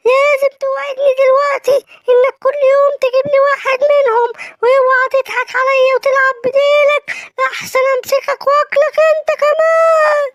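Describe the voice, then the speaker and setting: A woman with an Egyptian accent voicing a sly, greedy male fox in a children's story, in a shrill, taunting voice. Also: little pitch movement, fairly quick. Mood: menacing. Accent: Egyptian Arabic.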